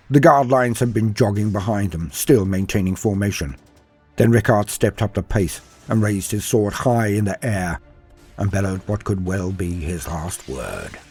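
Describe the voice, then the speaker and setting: A man's voice: lowish voice, darkish tone, slightly rough. A man reading a scripted war story as narrator, in a dramatic storytelling style. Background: faint music.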